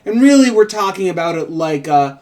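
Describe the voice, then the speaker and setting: Slightly high-voiced man, loose casual co-host. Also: expressive, brisk, a little mellow. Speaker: show co-host. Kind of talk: casual co-hosted chat.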